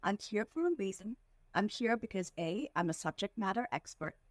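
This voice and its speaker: A woman from India, a fundraising executive, giving earnest, measured answers as a podcast guest and slowing down to stress her points. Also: mid-range voice, expressive, dry recording, clean studio sound. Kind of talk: reflective interview answers.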